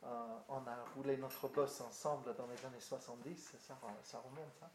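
A man's voice: medium pitch, steady tone, normal speed. An older man from Britain with a British accent, a pastor speaking casually to a group in French, hesitant with many fillers and restarts. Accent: British English. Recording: echoey room with faint noise.

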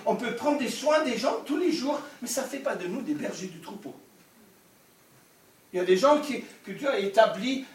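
Older preacher, high voice, echoey hall. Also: male voice. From Scotland. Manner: humorous, animated preaching with asides. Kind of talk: sermon. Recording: echoey hall, distant mic.

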